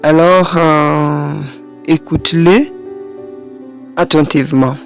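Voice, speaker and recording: A woman in her thirties hosting a solo podcast from a small studio, hoarse from a cold, speaking gently and encouragingly with hesitant pauses. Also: sits low, fairly even intonation, faint music behind. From Kenya. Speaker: podcast host and French teacher. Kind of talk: solo podcast monologue addressing the listener. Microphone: studio microphone.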